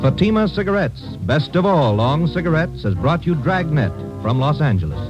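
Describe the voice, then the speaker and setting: An American man reading a sponsor's advertising pitch as a 1950s radio announcer. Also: sing-song, moderate pace. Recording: dull vintage radio recording, faint music.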